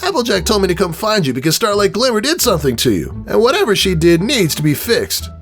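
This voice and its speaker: A man's voice: sits high, wildly swooping pitch, fast, fairly bright tone, faint music behind, voice pushed up, heavily acted. A man voicing a brash, cool young female cartoon pegasus pony with a scratchy voice. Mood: blunt, curious.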